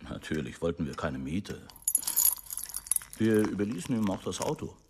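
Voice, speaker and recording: An actor playing a genial, agreeable American husband in a German radio drama, husky and unhurried. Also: male voice, low voice, very animated, noisy background.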